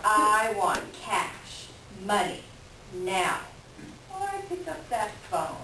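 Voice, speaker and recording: A woman's voice: mid-range voice, sing-song, takes it slowly. A woman playing a scornful, hard-nosed ex-wife in a staged reading, sardonic and biting. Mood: hard, demanding. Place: echoey room, far from the mic. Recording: distant echoey room with hiss.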